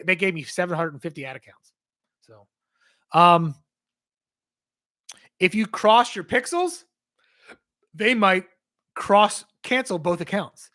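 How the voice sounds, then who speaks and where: Male livestream host, higher voice, hoarse from losing his voice, rambling answers to chat questions. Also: pushed and bright. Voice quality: strained, hoarse. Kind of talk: casual livestream Q&A.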